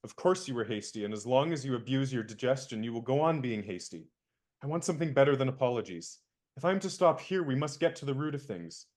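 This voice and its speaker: A young man acting an indignant valet in a radio play, crisp and lecturing. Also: medium pitch, lively intonation, fast, darkish tone, lightly acted. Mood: stern.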